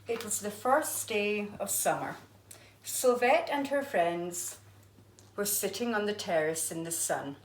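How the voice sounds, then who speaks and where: A woman's voice: medium pitch, lively intonation, a little slow. A woman, an art teacher, reading a children's storybook aloud expressively. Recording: echoey room.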